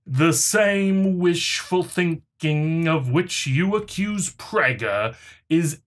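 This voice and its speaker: Man acting snobby male online zealot, highish pitch, slow haughty delivery, condescending, mock dramatic reading. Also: expressive. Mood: smug, scolding. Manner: pompous, haughty, drawn-out delivery.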